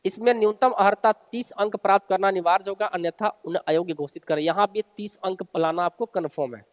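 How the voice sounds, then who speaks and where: A male coaching teacher speaks in a notably high voice with a long, hall-like reverb around it. He alternates between reading formal text aloud and explaining it informally. His manner is instructive, and he often restates the important points. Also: quick pace. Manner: instructive, repeats and rephrases key points for listeners.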